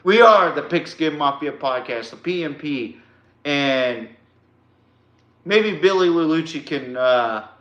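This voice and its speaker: A man's voice: on the high side, expressive, slow, fairly bright tone, lo-fi sound. A man from Texas hosting a sports podcast, talking casually to viewers in an informal, slangy, folksy way. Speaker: podcast host. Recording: roomy, echoey sound.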